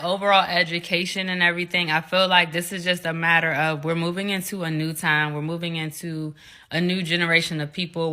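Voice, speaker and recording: Bright-voiced woman in her twenties. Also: on the low side. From United States. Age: 20s. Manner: earnest and emphatic, many "like" fillers. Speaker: discussion participant. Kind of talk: casual discussion.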